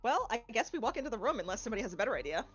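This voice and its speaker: A woman playing a tabletop game, making quick, wry jokes. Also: fairly high pitch, very animated, husky. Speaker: player. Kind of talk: casual banter at the gaming table. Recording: dull, lo-fi sound.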